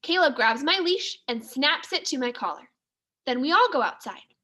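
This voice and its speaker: A woman's voice: high voice, animated, moderate pace, pushed and bright. A woman teacher reading a children's story aloud, expressively and with careful enunciation.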